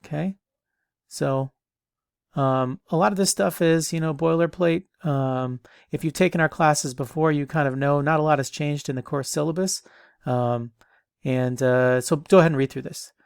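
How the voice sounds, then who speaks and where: A man's voice: fairly high pitch, fairly even intonation, normal speed, gravelly, clear, resonant tone, no room sound, clean studio sound. A man in his thirties giving a casual online lecture as an instructor, rambling with many ums and restarts, a bit stuffy from allergies.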